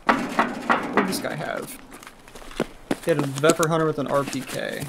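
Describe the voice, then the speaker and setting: A man's voice: on the high side, steady tone, unhurried, background noise. A man streaming a shooter game, self-deprecating and laughing at his own play. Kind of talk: casual gaming chat.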